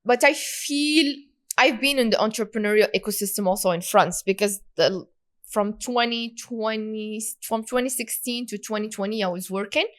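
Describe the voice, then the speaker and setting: A woman's voice: mid-range voice, moderate pace. A woman from Morocco, around her thirties, speaking candidly as a guest in an interview, with frequent fillers and restarts. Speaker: guest entrepreneur. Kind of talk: conversational interview.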